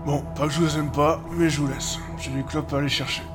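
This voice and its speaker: A man's voice: fairly high pitch, flat delivery, a little fast, loud music behind the voice, lightly acted. A man playing a cynical older chain-smoking gangster in an audio drama, blunt and grumbling in casual slang. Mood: blunt, dismissive. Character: gruff, cynical older male chain-smoking gang driver.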